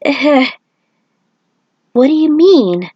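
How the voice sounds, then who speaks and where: A woman voicing an excitable, childlike female fighter in a fan fiction story reading. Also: high-pitched, very slow, dry recording, highs cut off, voice pushed up, full character voice. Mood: puzzled.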